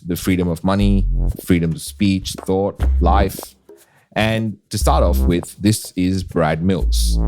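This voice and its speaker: An Australian man hosting a podcast, speaking earnestly and asking the listener pointed questions. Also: deep voice. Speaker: podcast host. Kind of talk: scripted podcast narration.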